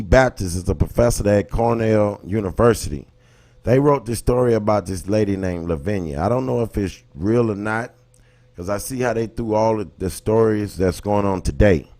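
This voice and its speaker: A man's voice: on the low side, warm tone. A man with an American accent hosting a livestream, giving impassioned, colloquial commentary and punctuating his points with rhetorical questions. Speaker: livestream host. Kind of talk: impassioned casual commentary, talking to live viewers.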